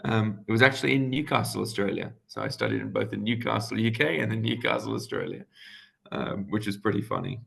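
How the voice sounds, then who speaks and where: A young man in his twenties with a low, gravelly voice. He talks to students over a video call in a friendly, chatty way, full of ums and restarts. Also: huge pitch swings, fairly quick, fairly bright tone. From England. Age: 20s. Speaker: guest speaker, economist. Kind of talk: casual explanatory talk to students.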